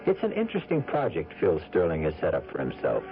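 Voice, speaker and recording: Low, theatrical male radio host. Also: huge pitch swings. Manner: theatrical, measured oratory. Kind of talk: 1970s radio mystery narration. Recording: muffled old radio broadcast, music behind.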